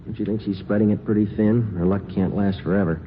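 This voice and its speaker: An actor playing a terse, steady police detective who gives clipped, deadpan lines in a 1950s radio drama. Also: male voice, on the low side, fast talker, a little hiss or hum, close to an everyday voice. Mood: matter-of-fact. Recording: narrow-band old radio recording with music.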